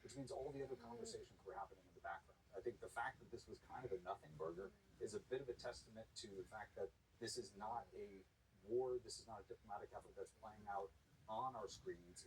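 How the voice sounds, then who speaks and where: A man's voice: on the low side, recorded from a distance, a little hiss or hum, low-quality recording. A man giving thoughtful, slightly hesitant analysis as a foreign affairs commentator on a news panel.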